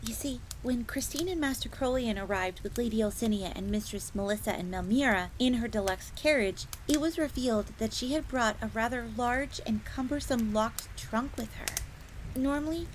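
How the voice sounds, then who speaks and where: A woman's voice: fairly high pitch, normal speed, lightly acted. A woman voicing a young, earnest, secretive fox maid in a scripted fantasy audio roleplay, confiding in the listener. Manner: speaks of herself in third person, confiding. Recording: crackling fire noise behind voice. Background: crackling fire.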